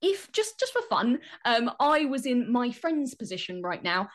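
Woman w a highish voice chatting on a radio show, giving advice, pretty animated. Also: moderate pace. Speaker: regular guest giving friendship advice. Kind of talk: conversational radio discussion.

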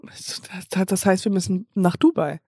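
A woman's voice: mid-range voice, normal speed, darkish tone, slightly rough, no echo. Woman podcaster joking around, mock serious, chatty, dry close sound. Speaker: podcast co-host. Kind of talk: casual comic banter.

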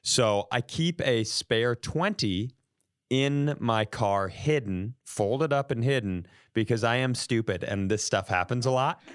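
A man's voice: mid-range voice, measured pace, no room sound, clean studio sound. A man podcast host telling a casual, comedic story in an exasperated, profane way, acting out the dialogue as he goes. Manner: exasperated, profane, animated retelling with acted-out dialogue.

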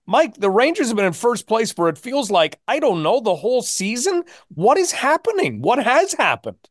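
A man's voice: very high-pitched, wildly swooping pitch, normal speed, no room sound, studio quality. A man hosting a sports podcast, asking questions in an emphatic, presenterly way. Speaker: sports podcast host and interviewer. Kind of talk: hosted sports interview.

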